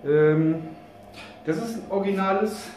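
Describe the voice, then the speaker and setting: Echoey, higher-pitched man, casual and joking. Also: unhurried, darkish tone. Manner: informal, joking, colloquial with mild swearing. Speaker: vlogger reviewing outdoor gear. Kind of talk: casual rambling product review. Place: concrete basement laundry room, indoors. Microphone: camera mic a few steps away. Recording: echoey room, distant camera, background noise.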